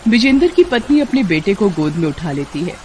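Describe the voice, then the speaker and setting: A woman's voice: mid-range voice, sing-song, brisk, a little mellow, slight background noise. A woman narrating a scripted moral story as a storyteller.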